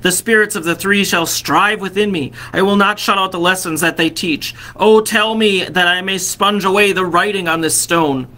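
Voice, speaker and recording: A man reading aloud, voicing an old, remorseful miser who pleads urgently, his voice pitched up. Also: normal speed, hardly any pauses, pushed and bright, low-quality recording. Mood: pleading.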